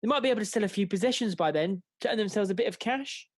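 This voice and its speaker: A woman playing a polite, soft-hearted young squire's son in a radio pantomime. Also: mid-range voice, racing, no echo, studio quality, natural voice. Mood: apologetic, pleading. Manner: polite, gentle.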